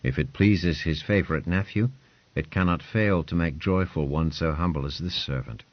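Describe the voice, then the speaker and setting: A brusque, imperious lord barks short commands in a raised, forceful voice, as a man puts him on. The sound is dry and a little dull. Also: extremely low pitch, moderate pace, dry recording. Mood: commanding. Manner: curt, commanding, clipped orders.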